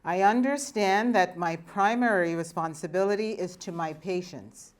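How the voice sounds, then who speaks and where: A woman giving a formal ceremony address and leading a group pledge in a measured, deliberate way. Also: lowish voice.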